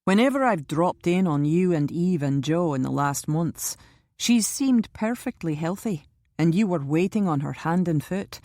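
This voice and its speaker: A woman doing a calm, protective man. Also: on the low side, no room sound, studio quality. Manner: measured, reassuring, grimly insistent. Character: calm, protective older man, steady and wry.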